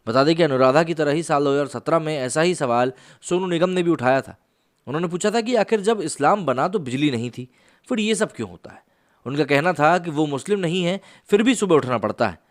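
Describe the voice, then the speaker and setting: A man's voice: on the high side, lively intonation, a little fast. A man explaining the news as a channel host, mixing in some English words. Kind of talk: news explainer commentary to camera.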